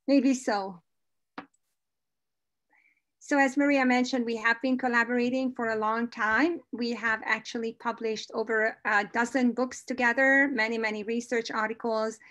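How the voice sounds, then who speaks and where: A woman's voice: on the high side, flat delivery, measured pace, a bit bright. A woman with a Hungarian accent giving an upbeat conference presentation over a video call.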